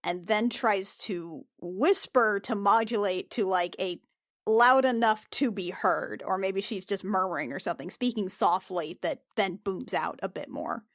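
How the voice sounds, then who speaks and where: A woman's voice: medium pitch, measured pace, dry recording, studio quality. A woman talking casually as a player in a tabletop roleplaying game, describing what her character does.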